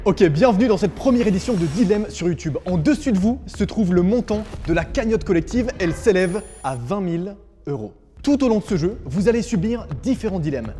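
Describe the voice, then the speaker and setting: A man's voice: high voice, warm tone. A man hosting a game show, announcing the rules in a scripted, dramatic way. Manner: dramatic, suspenseful delivery. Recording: long hall reverb. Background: music.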